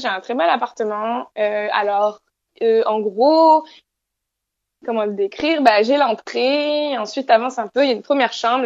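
A woman's voice: on the high side, highs cut off. A young woman from France, chatting casually as an interview guest from her apartment, with many fillers and restarts.

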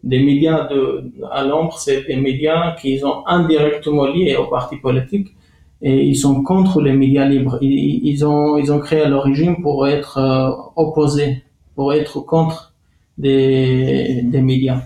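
A middle-aged man speaks with a Kurdish accent and a gravelly, dark voice. He pauses often and restarts his sentences. His voice carries the echo of the room. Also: medium pitch, fairly even intonation. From Iraqi Kurdistan. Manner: halting, many hesitations and restarts. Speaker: interviewee, former journalist. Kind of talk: testimony interview.

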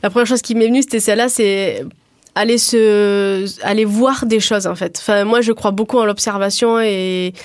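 A woman's voice: medium pitch, steady tone, normal speed, dry recording, studio quality. A woman in her thirties, a guest coordinator in a casual radio interview, thinking aloud with many restarts and fillers. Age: 30s. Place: radio studio.

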